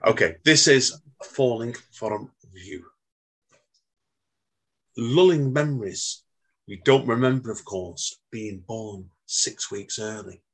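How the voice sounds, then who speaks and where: Husky-voiced man in his late forties. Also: on the low side, a bit bright, low-quality recording. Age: 40s. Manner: chatty, says mate, reads slow and measured. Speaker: podcast host. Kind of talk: casual chat and poetry reading.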